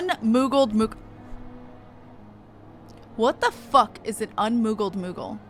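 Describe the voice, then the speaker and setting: A woman's voice: on the high side, animated, slow pace, fairly bright tone, faint music behind. A woman chatting casually as she livestreams a game. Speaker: game streamer. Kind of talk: casual livestream chat between read-aloud game dialogue.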